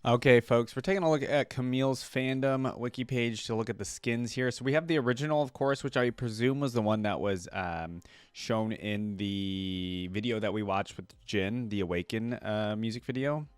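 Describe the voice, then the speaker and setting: A man's voice: mid-range voice, fairly even intonation, normal speed, clean tone, no echo. A man hosting a reaction video, chatting casually and thinking aloud in an informal way.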